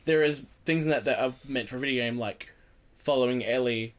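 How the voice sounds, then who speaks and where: A man's voice: mid-range voice, brisk. A man hosting an informal group chat on a podcast, often restating his points for emphasis. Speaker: podcast host.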